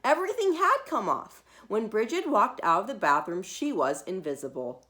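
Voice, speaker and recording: A woman reads a picture book aloud to young children. Her voice is bright and swings freely up and down. She is expressive and emphatic, leaving dramatic pauses as she tells the story. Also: mid-range voice. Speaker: preschool teacher reading a children's book aloud.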